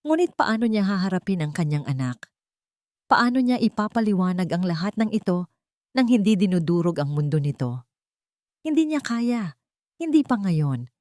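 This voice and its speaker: A woman narrating a dramatic romance story in a measured, somber reading. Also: mid-range voice, very animated, a little mellow, dry recording, clean studio sound.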